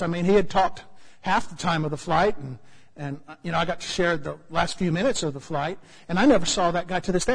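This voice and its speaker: An American man preaching a sermon, telling a humorous story with lively asides to his congregation. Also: sits high, breathy edge, big-room sound, rough recording. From United States.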